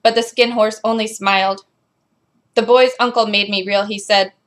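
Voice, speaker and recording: A woman's voice: medium pitch, fairly even intonation, normal speed, fairly bright tone, natural voice. A woman voicing a robot that reads a children's storybook aloud in a robotic delivery. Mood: mechanical, measured. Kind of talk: scripted storybook narration.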